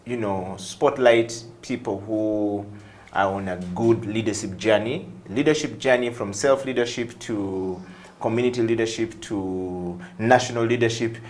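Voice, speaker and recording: A male interviewer with a lowish voice hosts a talk show. He speaks conversationally, setting out his questions with deliberate, emphatic phrasing.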